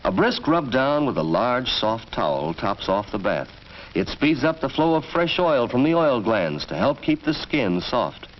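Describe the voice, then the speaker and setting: A man's voice: medium pitch, big pitch swings, unhurried. An American man narrating a 1940s educational film from a script, in an instructive, storytelling manner. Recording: dull vintage film soundtrack, faint noise.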